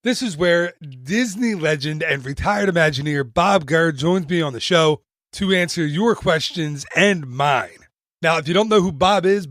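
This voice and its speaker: A man's voice: fairly high pitch, a bit bright, no echo, clean studio sound. A man hosting a travel podcast, reading an upbeat promo in a chatty way with self-deprecating jokes. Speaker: podcast host and travel agent. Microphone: studio mic.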